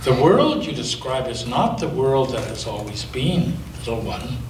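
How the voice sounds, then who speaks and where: A male pastor reading aloud and voicing an ancient, wise, gentle female turtle in a soft, hushed, slow way in an echoey church. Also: mid-range voice, very animated, recorded from a distance, slight background noise, pitched below the performer's own voice.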